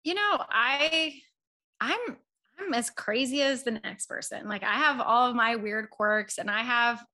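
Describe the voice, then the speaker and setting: A bright, clear-toned woman in her thirties chats casually as an interview guest. She talks loosely and candidly, pokes fun at herself and laughs often, with plenty of "like" and "you know" in her speech. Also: fairly high pitch, unhurried. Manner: chatty, self-deprecating, laughs often. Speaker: podcast guest.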